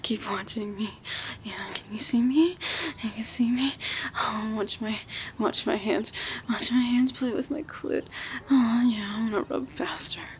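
A breathy actress as an amorous adult woman speaks in a fairly high voice, slowly and often in whispers, with sighs between phrases. She is urgent and coaxing, as in an intimate audio roleplay. The recording is muffled, with heavy noise. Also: close to an everyday voice. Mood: urgent, aroused. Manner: breathy, often whispering, sighing, drawn-out delivery.

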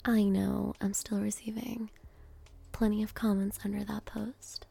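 A woman hosting ASMR, speaking softly and gently, often in a breathy whisper, deliberately repeating words as she reads out and greets. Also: mid-range voice, little pitch movement. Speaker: ASMR host. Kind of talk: ASMR, reading out names and greeting each.

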